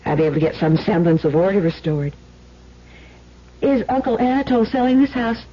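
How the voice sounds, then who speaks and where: An actress playing a worried, practical wife in an old-time radio drama, earnestly pressing with questions. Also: female voice, medium pitch, fairly even intonation, brisk, close to an everyday voice. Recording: muffled old radio recording.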